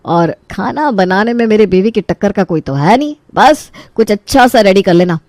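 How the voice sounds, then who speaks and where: A woman playing an easygoing young husband in an animated story, with a casual, coaxing and teasing manner. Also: medium pitch, normal speed, no echo, lightly acted. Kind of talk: Hindi animated story voice acting.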